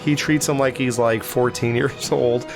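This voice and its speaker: A man's voice: medium pitch, fairly even intonation, normal speed, vocal fry, over music. A man co-hosting a podcast, reviewing an action movie in loose, crude banter and retelling scenes with a joking edge. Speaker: podcast co-host. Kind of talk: casual movie review chat.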